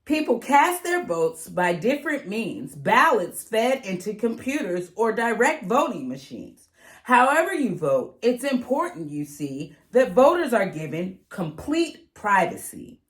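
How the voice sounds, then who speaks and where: A woman reading a rhyming children's picture book aloud in an expressive, sing-song way. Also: mid-range voice, unhurried. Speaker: read-aloud reader.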